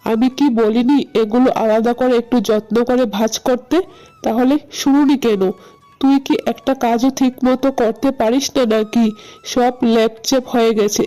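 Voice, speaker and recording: Cartoon nagging old mother-in-law, played by a woman: soft-toned yet quarrelsome, forever finding fault. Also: on the high side, lightly acted, poor audio. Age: older. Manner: scolding, complaining. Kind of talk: cartoon voice acting.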